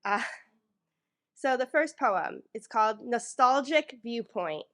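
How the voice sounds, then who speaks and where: A woman from New York with a somewhat high voice reads her poems at a microphone before a library audience. Between pieces she sounds a little nervous and fills gaps with ums, then settles into a measured reading. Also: animated, fairly bright tone. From New York, United States. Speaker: featured poet. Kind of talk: reading her own poetry live. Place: public library event room. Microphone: microphone in front of a live audience.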